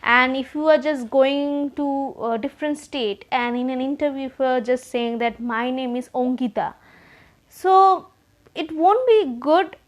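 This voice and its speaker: A woman's voice: sits high, a little slow. A woman from India with a Bengali-tinged Indian English accent, explaining pronunciation as a spoken English trainer in an earnest, repetitive teaching manner. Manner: earnest, repeats key points, direct advice. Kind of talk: explanatory lesson to learners.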